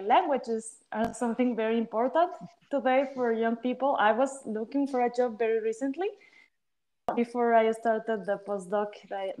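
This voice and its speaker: A young woman with a fairly high voice speaks as a researcher on a discussion panel. She talks in a semi-spontaneous way, forming her points as she goes. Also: a little mellow, low-quality recording. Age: young adult. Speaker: panelist, postdoctoral battery researcher.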